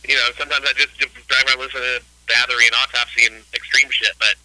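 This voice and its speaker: A man's voice: medium pitch, flat delivery, racing, sharp tone, dry recording, rough recording. A man in his late forties, a guest musician chatting casually in an interview, rambling and laid-back with many fillers. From Ohio, US. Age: 40s.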